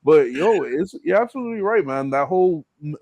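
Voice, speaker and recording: A man's voice: fairly high pitch, expressive, fairly quick, creaky, a little mellow, no room sound. A middle-aged American man hosting a podcast in casual, slangy, streetwise conversation. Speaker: podcast host. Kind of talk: casual chat.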